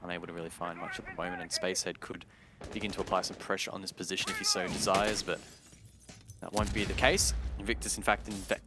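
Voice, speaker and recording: A low-pitched male esports caster delivers live match commentary. His phrasing is measured and analytical, and game gunfire and clicking sit noticeably behind his voice. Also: noisy background. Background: game gunfire, explosions and clicks.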